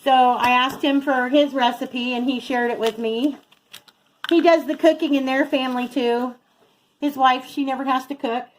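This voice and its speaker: A woman's voice: sits high, fairly even intonation, moderate pace, slight background noise, low-quality recording. A middle-aged woman chatting casually as she narrates each step of her home cooking as a vlog host. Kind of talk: casual chat while cooking. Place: home kitchen.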